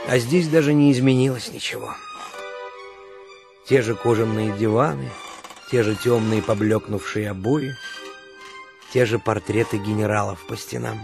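A man's voice: on the low side, gravelly. An actor playing a pensive, world-weary man back home after years away, musing unhurriedly in a radio drama. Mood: wistful. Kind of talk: radio drama inner monologue.

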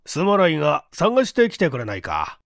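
A man reading aloud as a weary, wry senior chief investigator in an audiobook, unhurried and casually blunt. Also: a little high, wildly swooping pitch, creaky, dry recording, studio quality, exaggerated voice. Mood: thoughtful.

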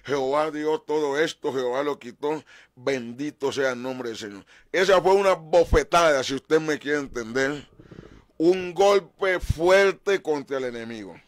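A man's voice: fairly high pitch, unhurried, no room sound. A middle-aged man hosting a faith talk show, preaching emphatically with dramatic rises in his voice, at a desk mic in a small studio. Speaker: pastor and show host. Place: small studio with painted backdrop. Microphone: desk mic on stand.

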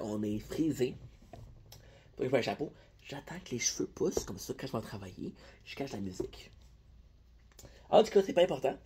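A man's voice: mid-range voice, darkish tone, a little hiss or hum. A young man with a Québécois French accent, chatting casually in a candy-tasting vlog, rambling and joking with his viewers, recorded on a phone at arm's length in a small room. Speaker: vlogger. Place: small room indoors at night.

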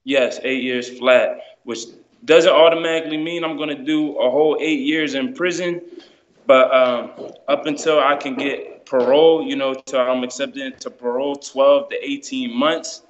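A man's voice: mid-range voice, fairly even intonation, moderate pace, slight background noise. A man, chatting casually and explaining things in informal slang. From New Jersey, United States. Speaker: guest storyteller, amateur musician. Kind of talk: casual chat. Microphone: phone held close, video call. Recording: dull lo-fi video call.

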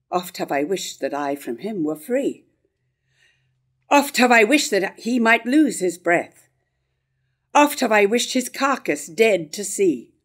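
An older woman plays a grieving queen torn between love and hate. She speaks her verse very slowly and deliberately, at a middling pitch. The delivery is declamatory, in the manner of a classical stage tragedy. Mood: bitter, resentful. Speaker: actor in a staged play reading. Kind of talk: staged reading of early modern verse drama. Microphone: stand microphone at a lectern.

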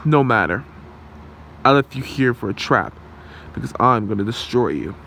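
A man acts out a menacing supervillain in an ASMR roleplay. He gloats possessively and makes theatrical threats in a medium-pitched voice. Faint noise runs under the recording. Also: animated, normal speed, pushed and bright. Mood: cold, threatening. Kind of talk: amateur ASMR roleplay drama. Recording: noisy room hum.

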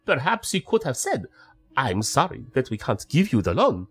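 An audio drama spellcaster played by a man, an immigrant with great dignity and formal, precise wording. His voice is husky and rises and falls dramatically as he makes his courteous case. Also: mid-range voice, huge pitch swings, normal speed, a bit bright, exaggerated voice. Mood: indignant. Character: proud, aggrieved immigrant male spellcaster, formal and polite.

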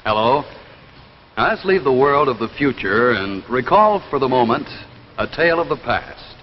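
A man's voice: mid-range voice, very animated, moderate pace. An American man in his thirties delivering a scripted 1950s television commercial. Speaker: commercial pitchman. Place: television studio display counter. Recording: dull, noisy 1950s TV soundtrack.

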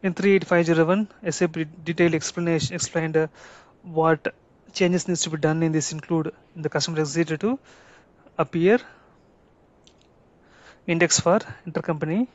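Male tutorial presenter, slightly high voice, halting deliberate delivery, dry lo-fi sound. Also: steady tone, dry recording. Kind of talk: explanatory tutorial, semi-improvised. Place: voice-over for a screen recording. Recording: dull lo-fi close dry recording.

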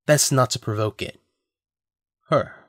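A man's voice: mid-range voice, measured pace, a bit bright, dry recording, studio quality. A man narrating a scripted audio drama as a storyteller.

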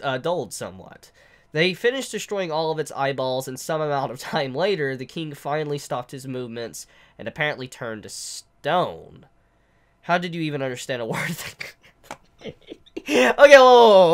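A man's voice: fairly high pitch, fairly bright tone. A young man in his twenties, congested with the flu, reviewing a manga chapter to camera from his living room, rambling and stammering as he sounds out made-up words. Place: living room with couch, indoors.

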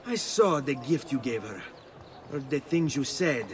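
A man's voice: mid-range voice, animated, measured pace, slight background noise, lightly acted. Cocky young Italian nobleman, acted by a man. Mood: angry, defiant. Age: young adult. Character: cocky, hot-headed young Italian nobleman, loyal son. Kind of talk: dramatic video game acting.

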